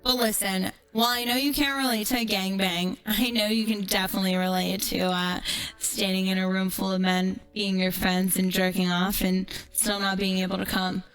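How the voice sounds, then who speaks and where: A woman's voice: mid-range voice, flat delivery, normal speed, fairly bright tone, clear, resonant tone, faint music behind, rough recording. A woman streamer chatting casually and ranting in a brash, profane, sarcastic way. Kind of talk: casual livestream chat with ranting storytelling.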